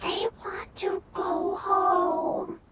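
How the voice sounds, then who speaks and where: Little childlike creature, drawn-out broken speech, gentle, squeaky high, video game, loud music. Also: little pitch movement, heavily acted. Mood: earnest. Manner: very slow, halting, simple words.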